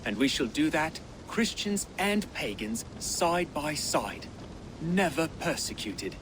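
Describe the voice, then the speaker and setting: An actor playing a devout, peace-minded convert warrior, earnest and measured, in a video game. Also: male voice, a little high, big pitch swings, noisy background. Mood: earnest, serene. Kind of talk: dramatic video game acting.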